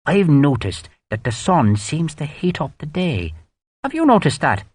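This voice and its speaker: A man's voice: a little high, sing-song, measured pace, darkish tone, slightly rough, dry recording, voice pushed up, heavily acted. A man voicing a wry, mocking Irish army major with a thick Irish accent and a sweetly sarcastic manner.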